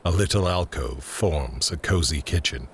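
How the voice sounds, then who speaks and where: A man narrating an audio drama in a measured, descriptive, scripted screenplay style. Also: extremely low pitch, a little hiss or hum.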